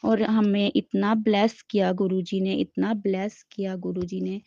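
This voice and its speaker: A middle-aged woman with a sore throat shares a personal spiritual testimony, telling it in a slow, devout way with frequent restarts. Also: mid-range voice, level tone, gravelly, mellow, dull audio. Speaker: devotee sharing a testimony.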